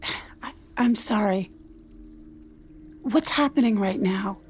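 A woman's voice: mid-range voice, a little mellow, faint music behind, close to an everyday voice. A woman playing a bewildered, hurt wife in an audio drama, speaking hesitantly in a naturalistic style. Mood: stunned, confused. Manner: halting, hesitant.

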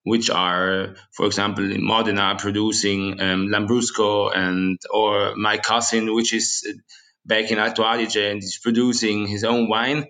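A young man from Switzerland and South Tyrol, English not his first language, a winery estate manager speaking haltingly and searching for words as an interview guest. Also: on the low side, flat delivery, pushed and bright. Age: young adult.

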